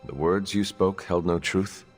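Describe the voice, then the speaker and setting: Game lead: low, stoic, formal hero, supportive, with a long reverb on the voice. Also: male voice, soft background music. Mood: calm, probing. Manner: formal, measured, few contractions. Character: stoic male demon-tempted hero, reserved and supportive. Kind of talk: video game dialogue acting.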